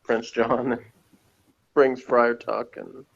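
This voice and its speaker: A man's voice: medium pitch, level tone, slow, creaky. A man reacting casually to a TV episode, wry and bluntly opinionated, in slightly muffled sound. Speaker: co-host of a TV episode review commentary.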